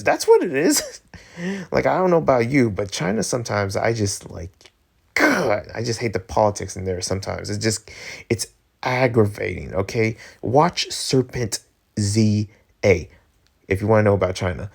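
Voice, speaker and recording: Low-voiced, gravelly man rambling casually. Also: darkish tone. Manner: informal, slangy, halting. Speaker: host of a commentary show. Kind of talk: casual rambling opinion commentary.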